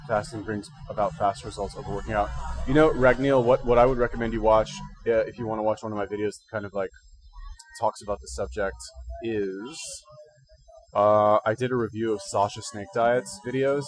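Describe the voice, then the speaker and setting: A man's voice: on the low side, steady tone, moderate pace, rough recording. A man with a slightly congested voice, hosting a casual livestream and rambling through fitness advice in slangy, informal talk. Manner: slangy, informal, thinking aloud. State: recently woken up. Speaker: livestream host giving fitness and diet advice.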